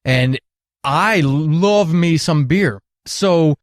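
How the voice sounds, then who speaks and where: Higher-pitched middle-aged man, talking earnestly. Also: extremely slow, no room sound, clean studio sound. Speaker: podcast guest, retired military counselor. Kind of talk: candid interview storytelling.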